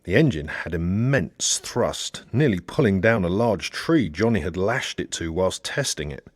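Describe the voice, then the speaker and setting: Deep-voiced, expressive male audiobook narrator. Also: measured pace, no echo. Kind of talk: scripted narration of a satirical novel.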